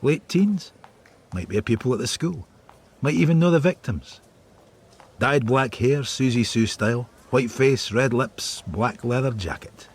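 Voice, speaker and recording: A man's voice: medium pitch, animated, measured pace, breathy edge. A man playing a world-weary Scottish detective, narrating a radio drama in terse, observant phrases.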